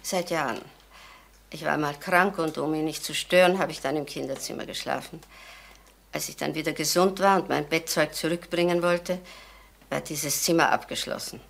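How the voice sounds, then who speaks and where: An actress playing an older, estranged wife in a crime drama, polite, halting, wistful and resigned. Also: female voice, sits low, steady tone, vocal fry. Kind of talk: German crime drama acting.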